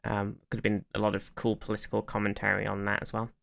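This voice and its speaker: A podcast co-host in casual chat, speaking in a halting, hedging way. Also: low-pitched, monotone, gravelly, a bit bright.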